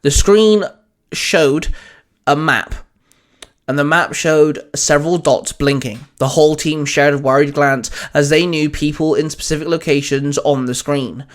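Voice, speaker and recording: A man's voice: on the high side, steady tone, a little slow. A man narrating an audio fan fiction story, reading as the narrator.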